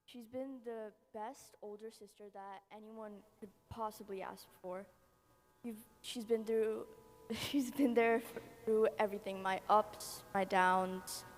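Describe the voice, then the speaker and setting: A teenage girl giving a shy, giggly, halting speech about her older sister. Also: medium pitch, steady tone, a little hiss or hum. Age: teens. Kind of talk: nervous tribute speech. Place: event hall. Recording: long hall reverb.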